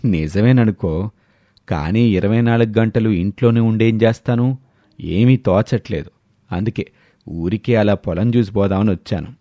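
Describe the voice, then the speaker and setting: A man voicing a contented, proud elderly farming father in a story, boastful and unhurried. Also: lowish voice, warm tone, no echo, close to an everyday voice.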